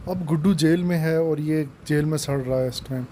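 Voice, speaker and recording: A man's voice: on the high side, moderate pace, warm tone, slight background noise. A man narrating informally as a storyteller, giving children a moral as the channel host.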